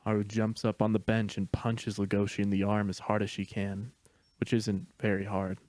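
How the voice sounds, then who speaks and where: A man delivers scripted narration of stage directions. His voice is fairly low and stays very even. He sounds matter-of-fact, in a dry recording with no room sound. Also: moderate pace, no room sound.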